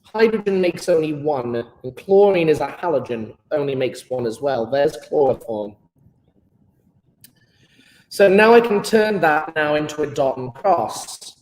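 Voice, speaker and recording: A man's voice: a little high, animated, measured pace, darkish tone, echoey room, poor audio. A British man teaching a live online chemistry lesson, playful and enthusiastic, joking with his pupils.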